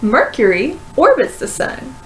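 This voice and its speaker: A woman's voice: high voice, wildly swooping pitch, measured pace, reverberant, a little hiss or hum, full character voice. A woman voicing a strict, no-nonsense female first-grade teacher in a stern, emphatic manner for a storybook. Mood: instructive.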